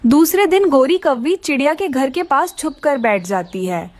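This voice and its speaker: A woman's voice: high-pitched, lively intonation, normal speed, a little mellow. A woman narrating a children's story from a script as the storyteller.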